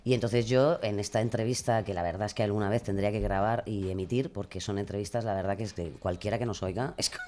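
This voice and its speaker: A woman with a Spanish accent from Spain, answering informally as an interview guest, halting and correcting herself as she thinks aloud. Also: rumbling low, fairly even intonation, dark tone, a little hiss or hum. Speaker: podcast creator and guest.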